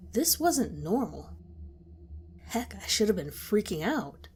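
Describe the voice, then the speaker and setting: A woman narrating a horror story in a slow, hushed voice that sometimes drops to a whisper. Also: medium pitch, a little hiss or hum.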